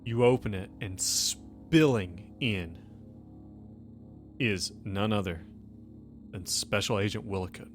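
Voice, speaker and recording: A man's voice: lowish voice, takes it slowly, pauses often, soft background music. A man improvising narration as the game master of a tabletop roleplaying game.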